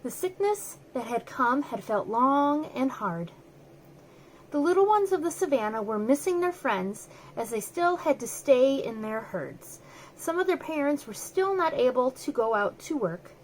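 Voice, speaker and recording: High-pitched woman reading a children's story. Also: very animated, measured pace, slight background noise, rough recording. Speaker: storyteller, host.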